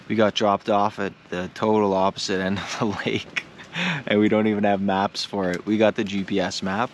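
Casual man with noisy camera audio. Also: fairly low pitch. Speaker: outdoor vlogger. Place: outdoors in the wilderness and inside a tent.

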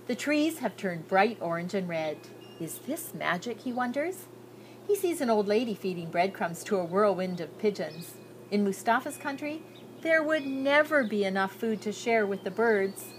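An older woman reading a children's picture book aloud expressively, drawing out descriptive phrases with care. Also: mid-range voice. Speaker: storybook reader. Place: outdoors on a garden patio. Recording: outdoor recording with background noise.

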